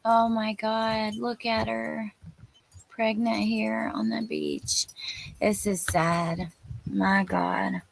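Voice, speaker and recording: A woman's voice: mid-range voice, animated, drawn-out words, creaky. A woman in her thirties hosting a live stream, chatting informally and exclamatorily with viewers and reading out their comments.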